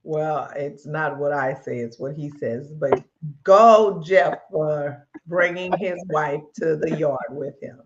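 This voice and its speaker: A woman co-hosting a live talk stream with a slightly raspy voice, speaking in an easygoing, chatty way. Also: low voice, takes it slowly, highs cut off, noisy background. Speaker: co-host. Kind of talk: casual live-stream chat.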